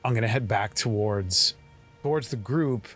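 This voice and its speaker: A man playing a tabletop roleplaying game, describing his actions and speaking in character in a halting, earnest way. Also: on the low side, a bit bright, soft background music.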